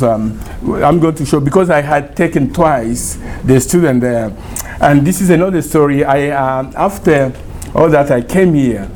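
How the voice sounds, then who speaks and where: A middle-aged man from Congo with a Congolese accent, a university professor giving a lively, informal talk to a small group, often laughing and switching languages. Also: a little high, a little hiss or hum. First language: Chiluba and Lingala.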